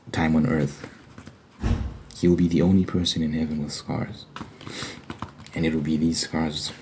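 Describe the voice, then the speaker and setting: A man's voice: low-pitched, mellow, a little hiss or hum. A man speaking in an informal ASMR religious style, earnest and conversational, with repeated phrases for emphasis. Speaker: ASMR Bible study host. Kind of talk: ASMR, informal religious talk ending in prayer.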